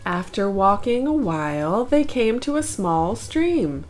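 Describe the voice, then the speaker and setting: Animated, unhurried woman reading a storybook. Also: medium pitch, faint music behind. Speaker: storybook narrator, channel host. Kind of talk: expressive children's read-aloud narration.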